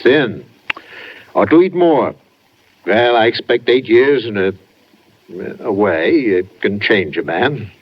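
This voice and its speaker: A low-voiced, pompous old judge. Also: male voice, animated, takes it slowly, pauses often. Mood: hearty, appraising. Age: older. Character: hearty older male judge, avuncular but pointed family friend. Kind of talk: 1950s radio drama acting. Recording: muffled 1950s radio recording.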